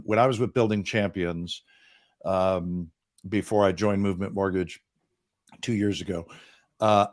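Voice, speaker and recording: Male, 60s, lowish voice, earnest self-deprecating coach, close boom mic. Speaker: business coach guest. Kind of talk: casual interview chat. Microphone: boom microphone.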